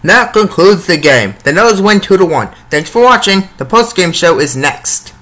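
A man's voice: high-pitched, animated, moderate pace, bright, forceful tone. A man playing a formal, welcoming TV hockey announcer in a cartoon comic dub, presenting the game. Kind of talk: sports broadcast presenting.

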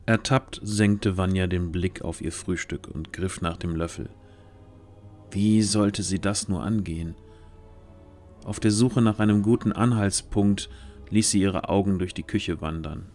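A man with a low voice reads scripted fantasy narration for an audio drama. Faint music plays behind him as he tells the story. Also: fairly even intonation, unhurried, a little mellow.

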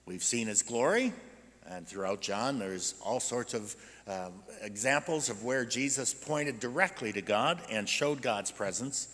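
An American man in his sixties preaching a conversational, explanatory sermon as a pastor, animated and full of rhetorical questions. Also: medium pitch, unhurried. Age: 60s. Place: indoors at a church pulpit. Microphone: gooseneck pulpit microphone. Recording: reverberant church sanctuary.

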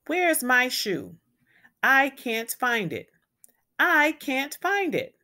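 A woman's voice: high-pitched, huge pitch swings, extremely slow, sharp tone, voice pushed up, exaggerated voice. A woman voicing an upset, stubborn young giraffe boy from a storybook, whining with emphatic protests. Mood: frustrated.

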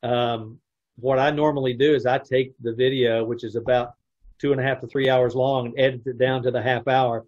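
A middle-aged man on a video call, explaining things casually in a halting, hesitant way. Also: medium pitch, flat delivery, a little mellow. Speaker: troupe member who edits the videos. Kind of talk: casual chat. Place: indoor room at home, video call. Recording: dull video call audio.

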